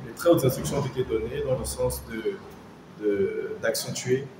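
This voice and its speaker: A man's voice: medium pitch, echoey room, noisy background. A man making a formal, rallying statement to the camera, urging listeners to join. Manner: formal, exhortative. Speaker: party member interviewed.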